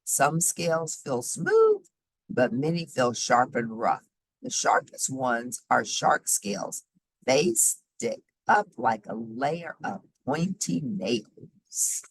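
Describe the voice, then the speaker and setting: A woman reading a children's nonfiction book aloud in an expressive, emphatic, teacherly way, pausing for short explanatory asides. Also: extremely low pitch, slightly rough, dry recording, low-quality recording. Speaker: read-aloud host.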